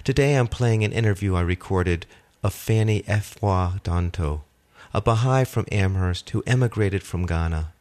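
A man hosts a community radio program and reads his introduction in a calm, measured way. His voice sits low. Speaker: radio host. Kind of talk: scripted radio introduction and interviewing.

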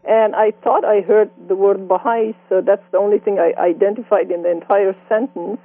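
A woman in her sixties from Iran with a Persian accent, telling her life story in an interview with reflective, gently amused warmth. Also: mid-range voice, fairly even intonation, normal speed. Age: 60s. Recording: dry tinny phone-like sound.

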